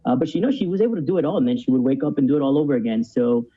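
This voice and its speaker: A man's voice: mid-range voice, racing, dark tone, breathy edge. Guy in his 30s from cuba, casual reflective podcast guest, kinda muffled lo-fi sound. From born in Cuba, moved to the USA as a child. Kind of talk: casual conversational interview.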